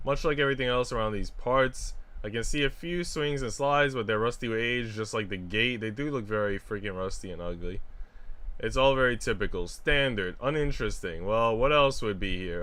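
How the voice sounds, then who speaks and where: A man's voice: medium pitch, a bit bright. A man livestreaming a visual novel, reading it aloud and chatting casually with informal, joking asides.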